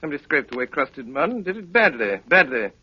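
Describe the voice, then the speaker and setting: Self-assured theatrical detective, slightly high voice, dry wit, dull old radio sound. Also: male voice, very animated, fast, breathy edge, no room sound. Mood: dry, rapid deduction. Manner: clipped, theatrical, haughty, hesitating with dry understatement. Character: brisk, eccentric, self-assured male detective, dryly amused. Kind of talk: 1930s radio drama acting. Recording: dull vintage 1938 radio broadcast.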